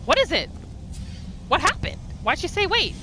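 High-pitched, expressive woman gamer. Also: normal speed, faint music behind, rough recording. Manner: expressive, exclamatory, dramatizing. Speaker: gaming video host. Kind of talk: roleplay game commentary, narrating character in third person.

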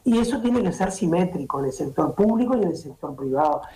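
Uruguayan man explaining, lively pitch. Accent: Uruguayan Spanish. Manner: measured explaining, with frequent restarts and fillers. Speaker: medical doctor, guest. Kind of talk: interview on a streaming show. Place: indoor home office. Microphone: laptop or webcam on a video call. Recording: echoey video-call audio.

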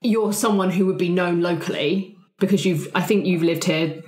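A woman's voice: on the low side, moderate pace, darkish tone. A woman chatting casually as a player in a roleplaying group, brainstorming characters. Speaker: player in a tabletop roleplaying podcast. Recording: roomy, echoey sound.

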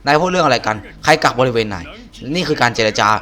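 A man voicing a scheming, obsequious male clan heir from a game, reading deferentially and haltingly. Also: medium pitch, expressive, bright, forceful tone. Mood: indignant. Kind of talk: reading game dialogue aloud.

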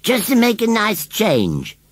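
Cheeky rustic scarecrow, swooping pitch. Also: male voice, big pitch swings, a little slow, breathy edge, no echo, exaggerated voice. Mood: airy, pleased. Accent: rural English. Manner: rustic, emphatic, dialect-laden speech. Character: scruffy rustic male scarecrow, stubborn, touchy, cheeky. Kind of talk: children's storybook character voice.

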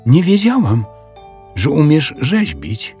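A man's voice: fairly high pitch, wildly swooping pitch, slow, dark tone, faint music behind, voice pushed up, heavily acted. A man voicing a clever, confident little girl in a children's audio story, explaining things with assurance. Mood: surprised.

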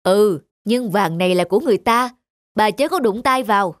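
A woman's voice: mid-range voice, animated, normal speed, no room sound, close to an everyday voice. A woman voicing an honest, humble middle-aged male farmer in a folktale cartoon, plain and principled in manner. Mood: firm. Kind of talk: Vietnamese folktale cartoon acting.

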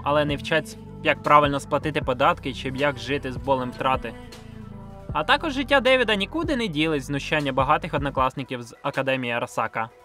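A man's voice: mid-range voice, pushed and bright. A man from Ukraine narrating a scripted video review in a blunt, sardonic, self-deprecating way, with slang and swearing. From Ukraine, grew up in a village. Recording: music under the voice. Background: background music.

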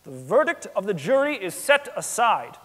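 A man's voice: sits high, very animated, normal speed, a bit bright, exaggerated voice. A young man playing a formal, insistent man of law in a theatrical courtroom reading, in a theater. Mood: solemn, declarative. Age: young adult. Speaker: student actor in a staged dramatic reading. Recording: echoey theater hall.

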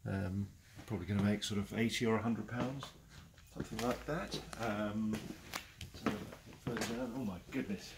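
Chatty English auctioneer with a gravelly voice. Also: male voice, fairly low pitch, steady tone, moderate pace. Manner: chatty and enthusiastic, chuckling often. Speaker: auctioneer giving a saleroom tour. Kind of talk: casual walk-through commentary. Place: large cluttered indoor auction saleroom. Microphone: handheld camera following him. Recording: noisy saleroom, walking camera mic.

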